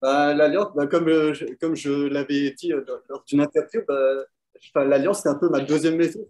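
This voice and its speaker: Man, from Belgium, interview guest, hesitant, thoughtful, slightly high voice. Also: steady tone, normal speed, darkish tone. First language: French. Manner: hesitant, many fillers, thoughtful. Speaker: quiz winner, guest. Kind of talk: casual interview answers over an online call.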